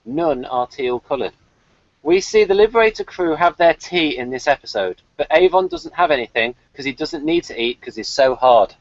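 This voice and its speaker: A man's voice: on the high side, sing-song, moderate pace, no echo. Guy reading out his review notes, dry humour, very up and down voice, muffled sound. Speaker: podcast host reading out his episode review. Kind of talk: casual review, reading notes aloud with asides.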